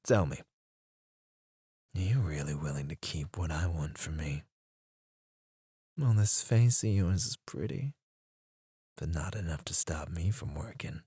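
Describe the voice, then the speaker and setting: A man playing a menacing, teasing kidnapper in an ASMR roleplay, drawling his taunts and dropping into hushed murmurs close to the microphone. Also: rumbling low, measured pace, pauses often, breathy edge, dry recording, full character voice. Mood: sultry, threatening. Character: menacing, teasing male kidnapper interrogating a captive. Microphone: close studio microphone.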